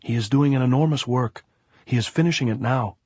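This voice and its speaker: A man's voice: mid-range voice, brisk, a little mellow, husky, no echo, pitched above the performer's own voice, lightly acted. A man voicing a patient, dutiful old guerrilla, calling out earnestly and soothingly in an audiobook. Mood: patient insistence.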